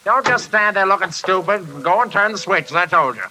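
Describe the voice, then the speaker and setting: A man's voice: sits high, expressive, brisk, pushed and bright, exaggerated voice, low-quality recording. An actor playing a bitter, bullying invalid father who scolds and barks orders, in the style of 1940s American radio drama. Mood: panicked, pleading. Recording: hissy vintage radio recording.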